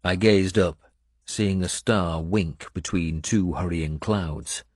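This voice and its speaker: Deep, husky male audiobook narrator. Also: slow pace, no room sound, clean studio sound. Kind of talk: dramatic scripted first-person narration.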